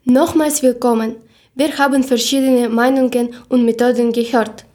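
A woman's voice: on the high side, steady tone, moderate pace, dark tone, a little hiss or hum. A woman from Ukraine, hosting a podcast and carefully reading her lines from a script. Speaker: podcast host.

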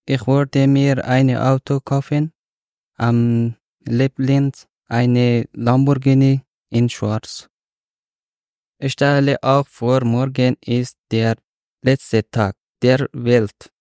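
Guy from afghanistan, non-native, slow talker on a podcast, dry sound. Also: mid-range voice, level tone, mellow, clean tone, no room sound. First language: not German. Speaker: podcast participant.

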